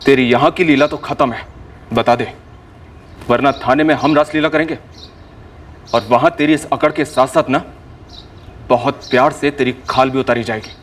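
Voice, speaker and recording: An actor playing a tough, sarcastic police officer who gives orders and threats in a TV crime drama. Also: male voice, mid-range voice, steady tone, moderate pace, long pauses, far from the mic, slight background noise, lightly acted. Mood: menacing. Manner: commanding, menacing, sarcastic. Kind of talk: dramatised crime reenactment acting. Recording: off-mic with background music.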